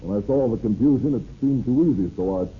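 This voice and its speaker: An actor playing a sullen, defiant ex-convict in an old radio drama, answering grudgingly and tersely. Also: male voice, fairly low pitch, fast talker, natural voice. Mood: sullen confession. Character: sullen, defiant male ex-convict train wrecker. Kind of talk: 1930s radio acting. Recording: muffled, hissy old radio recording.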